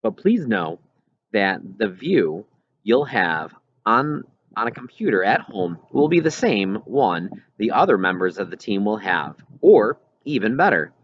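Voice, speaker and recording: A man reading as a kindly whale researcher, gently explaining and reassuring in a storybook. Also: fairly low pitch, big pitch swings, unhurried. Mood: reassuring, explanatory. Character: kindly adult whale researcher writing an apologetic email. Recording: dull, lo-fi recording.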